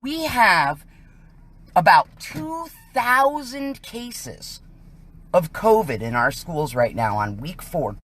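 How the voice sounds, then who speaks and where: A woman's voice: lowish voice, very animated, takes it slowly, a bit bright. An American woman giving live on-scene commentary outdoors as a citizen reporter, speaking in an indignant, emphatic way. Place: outdoors on a residential street.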